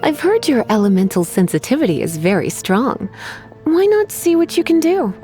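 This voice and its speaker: A coy, self-assured game librarian with a fairly high, very expressive voice. She teases sweetly with theatrical menace over faint music. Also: moderate pace. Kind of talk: video game voice acting.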